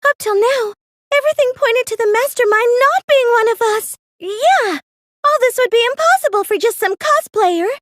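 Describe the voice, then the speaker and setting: A woman's voice: very high voice, lively intonation, moderate pace, fairly bright tone, no room sound. A woman voicing a meek, plain teenage girl with a secret scheming side in an anime video game dub, earnest and girlish in manner. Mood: defensive, flustered. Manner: hesitant and stammering when pressed, earnest, girlish.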